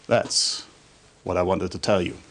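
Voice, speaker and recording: An actor playing a fervent, conspiratorial military officer in a video game cutscene, speaking in a formal, emphatic, declamatory way. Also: male voice, low-pitched, steady tone, unhurried, a bit bright, a little hiss or hum. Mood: secretive. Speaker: military officer briefing a commander.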